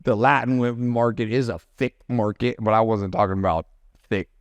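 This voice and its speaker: A man's voice: mid-range voice, steady tone, moderate pace, no echo, muffled recording. Man, casual storyteller, mimics accents, dry studio sound. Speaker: podcast guest, business mentor. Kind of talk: casual chat.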